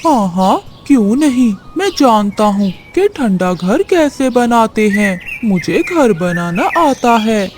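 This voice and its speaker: A woman's voice: on the high side, sing-song, slow pace, hardly any pauses, background noise. A woman voicing a gullible, boastful, lovestruck married male crow in a cartoon story, eager and gushing. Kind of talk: Hindi cartoon story dialogue.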